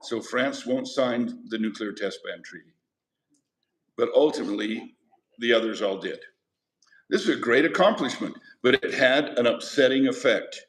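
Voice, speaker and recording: A man's voice: fairly low pitch, fairly bright tone. A man teaching a history class, lecturing in a conversational way and putting questions to his students. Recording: echoey classroom.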